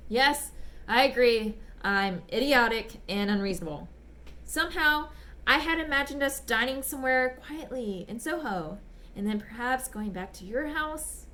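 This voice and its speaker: A woman voicing a smitten, bashful gentleman writing polite, apologetic, ardent love letters in a dramatic reading. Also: fairly high pitch, moderate pace. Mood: pleading, flustered. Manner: polite, apologetic, ardent, old-fashioned phrasing. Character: smitten, bashful, gushing gentleman letter writer back from China.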